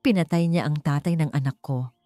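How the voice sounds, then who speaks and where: A woman's voice: deep voice, brisk, warm tone, no room sound, faint music behind, natural voice. A woman voicing a grieving, wounded young mother in an audio drama, with a hurt, trembling manner. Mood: shaken grief.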